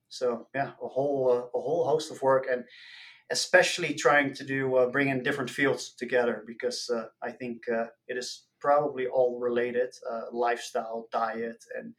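A man from the Netherlands, an academic guest explaining things casually and haltingly in an interview. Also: mid-range voice. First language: Dutch. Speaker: podcast guest, nutrition professor.

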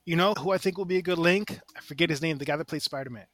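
A man's voice: fairly high pitch, racing, a bit bright, no echo. A man chatting casually on a panel podcast, laying out points in emphatic, repeated phrases. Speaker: podcast contestant presenting a ranked list.

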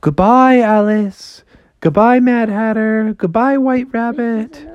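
A woman voicing an excitable young male frog in a storybook tale, exclaiming and repeating words in casual slang. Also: on the high side, slow, lo-fi sound, voice pushed up. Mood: cheerful farewell.

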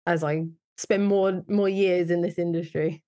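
A woman with a British accent and a slightly low voice chats informally as an interview guest. Her speech is loose and conversational, peppered with little everyday filler phrases. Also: fairly even intonation, a little slow, dark tone. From United Kingdom. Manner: chatty, informal, frequent "like" and "you know". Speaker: wedding photographer, interview guest.

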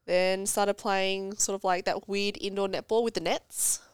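A young woman with a congested cold, chatting as a podcast guest, self-deprecating and quick to tell stories. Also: mid-range voice, flat delivery, clean tone, no room sound, studio quality. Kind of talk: casual chat. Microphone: studio podcast mic.

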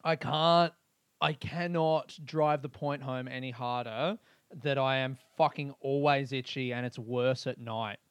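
A man's voice: medium pitch, a little slow, smooth, no room sound. A man hosting a podcast, rambling through a casual comedic monologue and swearing freely while he laughs at his own jokes. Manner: crude, swears a lot, laughs often; animated, rambling storytelling. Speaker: podcast host.

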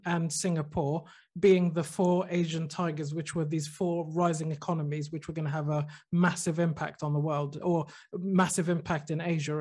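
A man co-hosting a podcast, explaining things in casual chat and talking eagerly about cities. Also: fairly high pitch. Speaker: podcast co-host.

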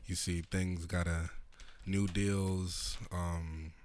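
A man hosting a podcast, hoarse, talking in a laid-back, slangy, profane way. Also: deep voice, flat delivery, extremely slow, a little mellow, a little hiss or hum. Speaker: podcast host. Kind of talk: casual podcast chat.

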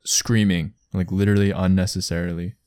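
Young guy, lowish voice, easygoing chat, dry close mic. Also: little pitch movement, a little fast, darkish tone, clean tone, no echo. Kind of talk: casual chat.

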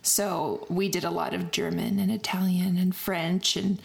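A woman's voice: fairly low pitch, little pitch movement, unhurried, gravelly, rough recording. Stuffy-nosed woman ranting dryly. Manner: ranting, dry humor. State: stuffy nose. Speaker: podcast co-host. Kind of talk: casual chat.

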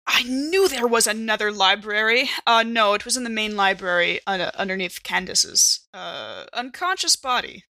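A woman plays in a tabletop horror game, improvising conversational dialogue in character. Her voice is bright and forward, at a middle pitch, recorded dry and close. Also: animated, moderate pace, few pauses, dry recording. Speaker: player in an actual play podcast.

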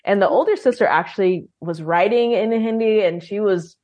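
A woman's voice: mid-range voice, normal speed, darkish tone, lo-fi sound. Young woman, guest in a casual interview, filler-heavy and conversational. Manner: chatty, many "like" and "um" fillers. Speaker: guest, language program leader.

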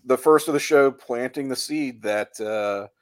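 A man's voice: mid-range voice, a little slow, no room sound, clean studio sound. A man co-hosting a podcast, casually analyzing a TV show in a reflective, colloquial way. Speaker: podcast co-host.

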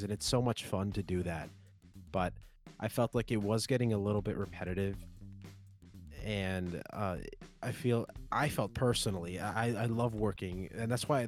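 Deep-voiced young man rambling on a podcast. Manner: rambling, many fillers and restarts, chuckling often. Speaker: podcast host. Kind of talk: solo casual podcast talk.

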